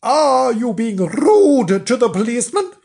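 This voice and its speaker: A shrill, house-proud storybook wife, put on by a storyteller, bossy and exasperated. Her scolding rides high and swoops about in exclamations. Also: big pitch swings, unhurried, darkish tone, breathy edge. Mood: shrill, astonished. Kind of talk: children's storybook character voice.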